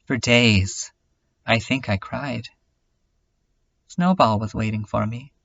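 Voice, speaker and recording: A man playing a lonely, despairing last survivor, narrating a bleak diary in a halting, confessional way. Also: medium pitch, vocal fry, a bit bright, muffled recording. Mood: bleak, detached. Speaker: narrator of a horror comedy fan dub. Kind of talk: slow first-person scripted narration.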